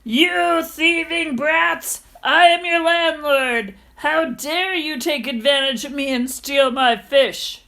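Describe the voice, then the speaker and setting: A grumpy old landlord from a storybook, acted out by a woman reader. He is miserly and full of complaint, blustering loudly in slow, deliberate phrases. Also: high-pitched, gravelly, pitched above the performer's own voice, heavily acted. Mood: outraged. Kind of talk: storybook character voice.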